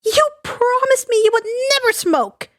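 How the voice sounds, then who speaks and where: A woman reader puts on a motherly cartoon character with a high voice. She swings between indignant scolding and sugary reassurance, with a very lively pitch in a dry recording. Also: moderate pace, no room sound, exaggerated voice. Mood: scolding, exasperated. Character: indignant motherly woman, scolding yet sweet with her son.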